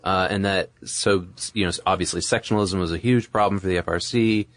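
A man's voice: deep voice, steady tone, brisk, no echo. A man co-hosting a podcast, explaining informally and piecing his points together as he goes. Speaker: podcast co-host. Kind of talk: conversational explainer talk.

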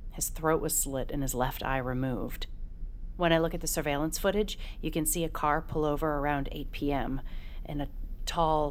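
A woman's voice: sits low, slight background noise, lightly acted. An actress playing an earnest, urgent detective who pleads with her younger sister. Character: earnest female police detective, older sister. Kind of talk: audio drama acting.

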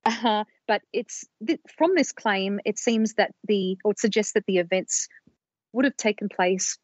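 A woman co-hosting a podcast, explaining research in a measured storytelling way on a slightly dull, lo-fi recording. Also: medium pitch, no room sound. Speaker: podcast co-host presenting research.

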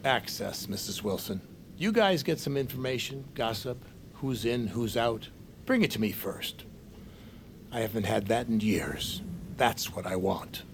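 A man's voice: lowish voice, lively intonation, measured pace, slight background noise. A man playing a blunt, world-weary veteran newspaper reporter in an audio drama, terse and plain-spoken.

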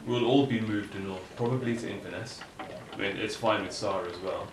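A man's voice: lowish voice, normal speed, close to an everyday voice. An actor playing an earnest, reflective father facing climate upheaval in a naturalistic audio drama. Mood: resigned. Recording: roomy, distant, noisy room recording.

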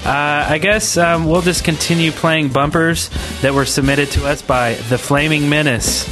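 A man's voice: fairly high pitch, fairly even intonation, moderate pace, over music. A man hosting a gaming podcast with casual, off-the-cuff chat. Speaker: podcast host.

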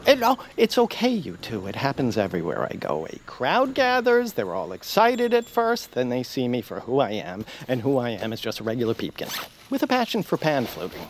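An actor playing a timid, fussy, self-deprecating radio hero in a comedy audio drama, halting and apologetic. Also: male voice, medium pitch, wildly swooping pitch, slight background noise. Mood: dejected. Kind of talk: audio fiction comedy acting.

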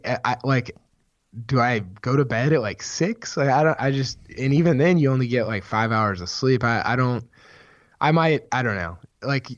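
Hoarse man rambling about golf. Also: mid-range voice, no echo. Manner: rambling, many restarts, excited. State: hoarse, losing his voice. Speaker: podcast co-host, golf writer. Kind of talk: casual sports podcast chat. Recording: dull, dry podcast mic sound.

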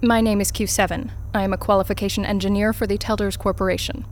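A woman's voice: medium pitch, level tone, fast, lightly acted. Woman acting a clinical corporate interrogator over noisy ambience. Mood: calm, measured. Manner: composed, formal, officious. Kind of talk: audio drama acting.

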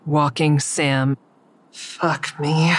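A woman's voice: low voice, level tone, very slow, husky, heavily acted. A woman acting an anxious, secretive character who is in over her head, narrating and speaking in the first person in an audio drama. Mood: tense, dreading.

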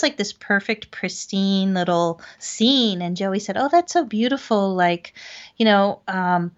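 An American woman recounting personal experiences conversationally as an interview guest, descriptive and pausing between phrases. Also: mid-range voice, muffled recording. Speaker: podcast guest, paranormal investigator.

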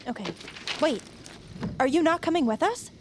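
An actress playing a smart, eager young fangirl in an audio drama, earnest and excitable. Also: high voice, normal speed, background noise. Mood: eager, anxious.

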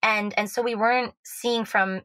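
A woman's voice: a little high, fairly even intonation, normal speed, a bit bright, no room sound. A woman from Switzerland with an American accent, a love coach guest talking earnestly in a casual podcast chat, full of fillers and restarts.

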